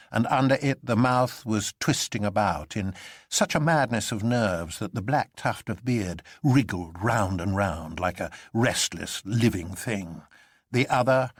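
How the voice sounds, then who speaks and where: A man reads literary fiction as a dramatic narrator. His pitch moves a great deal and his delivery is theatrical. The recording is dry, with no room around the voice. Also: husky, no room sound. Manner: theatrical, expressive.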